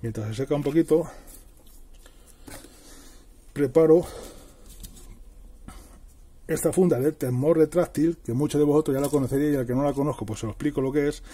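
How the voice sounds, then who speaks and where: Male DIY presenter, middle-aged. Very mellow, slightly rough voice, informal step-by-step delivery. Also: a little high, moderate pace, pauses often. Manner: plain, step-by-step explaining with everyday diminutives. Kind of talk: casual hands-on how-to narration. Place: indoors at a worktable.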